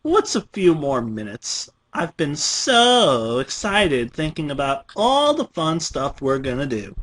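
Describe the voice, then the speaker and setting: A man's voice: on the high side, very animated, slow, a bit bright, heavily acted. A man voicing a bouncy, gleeful female cartoon pony in a gushing, over-eager way. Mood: gleeful, reassuring.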